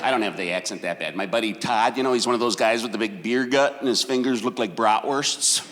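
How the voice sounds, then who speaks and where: A man from Wisconsin with a Wisconsin accent, performing a crude, animated stand-up comedy set on a club stage. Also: medium pitch, a little fast. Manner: animated, crude, jumps into exaggerated character voices. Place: comedy club stage before a laughing crowd. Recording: live hall with loud crowd noise.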